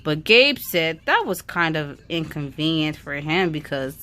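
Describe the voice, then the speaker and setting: A woman's voice: low voice, sing-song, measured pace, pushed and bright, faint music behind. A woman reading a children's story aloud in a storytelling style. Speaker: reader of a children's book.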